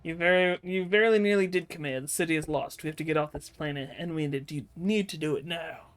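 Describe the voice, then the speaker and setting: A man's voice: fairly high pitch, a little fast, fairly bright tone, clean tone, natural voice. Man reading as a grave, loyal military adviser. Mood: grave, urgent. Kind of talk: reading game dialogue aloud.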